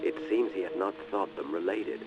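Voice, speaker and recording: A deep, formal male scholar, played by an actor, reading out his obsessive occult journal in a video game. The sound is dull and lo-fi, with very loud music around his deliberate, scheming delivery. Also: lightly acted. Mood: calculating. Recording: muffled lo-fi, loud music behind.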